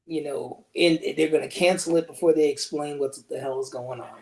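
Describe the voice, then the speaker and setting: A man's voice: a little high, steady tone, a little fast, darkish tone. A man chatting casually as a panelist on a podcast. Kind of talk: casual chat.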